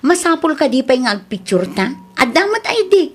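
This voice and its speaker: High-voiced, exasperated cash-strapped wife played by an actress. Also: female voice, very animated, brisk. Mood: put out. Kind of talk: amateur radio drama acting.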